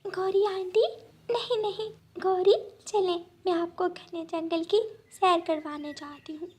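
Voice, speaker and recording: High-pitched pleading little girl bird. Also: fairly even intonation, a little slow, darkish tone. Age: child. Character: sad young girl bird, pleading and innocent.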